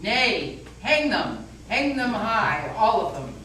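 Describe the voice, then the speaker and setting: Casting: woman as harsh old fanatic hanging judge, sharp-edged voice, stage reading in an echoey hall. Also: medium pitch, sing-song, slow pace. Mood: fanatic fervour. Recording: echoey hall from far off, faint noise.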